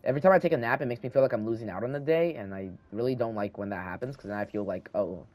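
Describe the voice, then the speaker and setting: A man's voice: mid-range voice, rapid-fire, darkish tone. A teenage boy with a slight lisp, rambling and thinking aloud while he plays a video game at home, talking into a handheld microphone. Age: teens. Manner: meandering, thinking aloud, frequent restarts. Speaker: gaming channel host. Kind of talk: rambling casual talk over gameplay.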